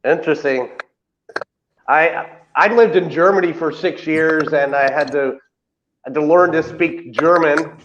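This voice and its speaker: Halting man on dull lo-fi video call. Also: fairly high pitch, fairly bright tone. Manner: halting, many uh fillers and repeats. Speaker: co-host. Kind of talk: casual chat. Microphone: video call webcam. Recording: dull lo-fi call audio, faint hiss.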